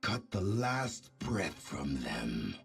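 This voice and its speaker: A cold masked shadow ninja villain from a video game. His voice is extremely low, gravelly and slow, with a hollow filter as if breathing through vents. He makes solemn, menacing pronouncements. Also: sing-song, faint music behind, exaggerated voice. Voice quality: raspy, breathy, muffled as if through a vented mask. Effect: hollow mask-like vocal filter.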